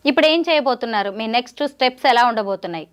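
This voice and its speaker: A woman working as a news interviewer, recapping points in an animated way and putting probing questions. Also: a little high, rapid-fire, clean tone.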